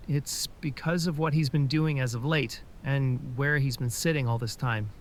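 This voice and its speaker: A man voicing an earnest male military officer in a fan audio drama, measured and pleading. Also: medium pitch, steady tone, slight background noise, close to an everyday voice.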